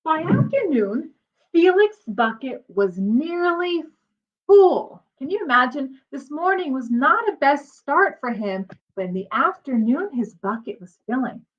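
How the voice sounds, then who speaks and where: A high-pitched woman reads a picture book aloud like a teacher talking to small children. Her delivery is slow and swings widely, with drawn-out emphasis and explanatory asides. The sound is slightly dull and lo-fi. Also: big pitch swings. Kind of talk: expressive children's storybook read-aloud with asides.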